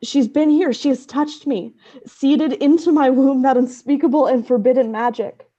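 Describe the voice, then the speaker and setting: An actress playing an ailing woman scholar obsessed with dark magic, intense and portentous, in a gothic radio play. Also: high voice, steady tone, moderate pace, highs cut off. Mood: desperate, fervent. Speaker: actress in an audio drama.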